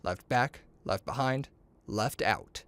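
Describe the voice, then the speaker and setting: A man reading a children's story aloud, acting out the dialogue in an expressive, playful, boastful way. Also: medium pitch, takes it slowly, dry recording.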